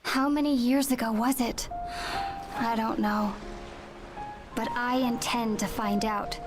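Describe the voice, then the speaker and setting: A woman's voice: on the high side, fairly even intonation, takes it slowly, music in the background. A woman playing a young, sombre and determined traveler narrating her story in a video game cutscene. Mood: sombre, resolute.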